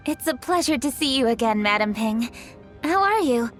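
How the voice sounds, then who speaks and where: A woman's voice: fairly high pitch, animated, normal speed, natural voice. A woman voicing a young official in a game, speaking in a formal, courteous manner. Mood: polite. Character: young noblewoman official, polite and dutiful. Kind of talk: game voice acting. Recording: studio voice with faint background music.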